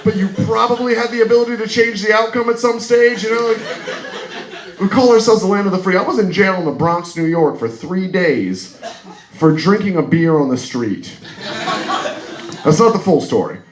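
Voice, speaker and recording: A man's voice: sits high, animated, normal speed. A man from the United States with an American accent performing live stand-up comedy in a conversational, wry manner. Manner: conversational, wry, punctuated by "you know". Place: live comedy venue in Munich. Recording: echoey live room with clear noise.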